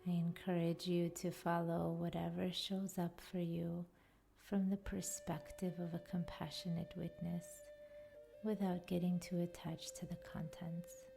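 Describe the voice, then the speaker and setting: A woman with a soft, breathy voice that often nears a whisper, guiding a meditation in a soothing, deliberate manner. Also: fairly low pitch, little pitch movement. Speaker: podcast host and yoga nidra guide. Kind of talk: guided meditation narration. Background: faint music.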